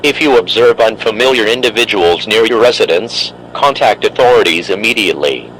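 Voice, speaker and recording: A man delivers an official emergency announcement in a bright, forceful, somewhat low voice. His reading is formal and flat, with faint noise behind it. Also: brisk, low-quality recording.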